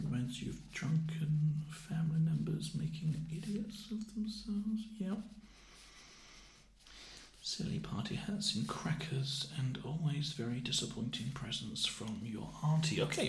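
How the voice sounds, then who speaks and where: A soft-spoken British man in an ASMR roleplay as a clerk, talking haltingly and a little awkwardly, often dropping close to a whisper. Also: on the high side, animated, echoey room, a little hiss or hum, low-quality recording. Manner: hesitant, gently awkward, halting delivery. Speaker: ASMR roleplayer playing a festive assessment clerk. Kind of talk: ASMR roleplay, improvised personal-attention chat.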